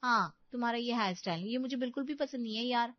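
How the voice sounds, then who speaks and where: A woman's voice: a little high, fast, dry recording, muffled recording, lightly acted. A woman voicing a playful, blunt young college girlfriend in a story, teasing and outspoken. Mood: complaining.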